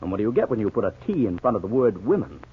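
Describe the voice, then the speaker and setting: An actor playing a keen American amateur sleuth in a 1940s radio drama, explaining things emphatically in a raspy voice. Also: male voice, fairly low pitch, sing-song, very fast, slight background noise. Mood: keen, reasoning. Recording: tinny 1940s radio recording.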